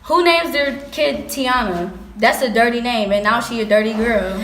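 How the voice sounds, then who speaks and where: A woman's voice: fairly high pitch, normal speed, a bit bright. A woman acting a catty, gossiping, slangy teenage girl in a stage play. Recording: echoey stage, faint noise.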